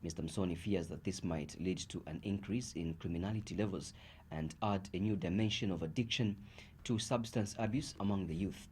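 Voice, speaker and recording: A man with a Zambian accent reads scripted news in a fairly low voice. He delivers it as a bulletin presenter. Some noise sits behind his voice. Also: fairly quick, a little mellow. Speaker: news reader.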